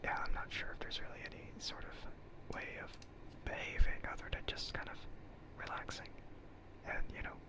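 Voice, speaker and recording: A livestream host speaking in a soft breathy whisper, chatting in a rambling, hesitant, self-deprecating way in ASMR style. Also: moderate pace, poor audio.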